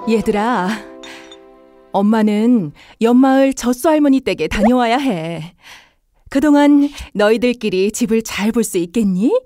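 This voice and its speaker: Woman as a soft, mellow mother goat. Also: on the high side, measured pace, no echo, lightly acted. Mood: caring, cautioning. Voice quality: soft, tender. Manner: motherly, instructing. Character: gentle, caring mother goat. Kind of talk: children's fairy-tale acting. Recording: faint music behind the voice.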